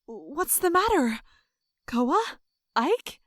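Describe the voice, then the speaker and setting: Actress as polite young noblewoman: fairly high, slow-paced, gentle fantasy drama role. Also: sing-song, full character voice. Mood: gentle, concerned. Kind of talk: audio drama acting.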